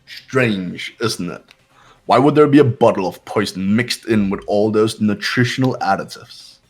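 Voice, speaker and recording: A man reading a game character's lines in a calm, measured, slightly smug way, among friends. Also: lowish voice, soft background music. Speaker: player voicing a game character.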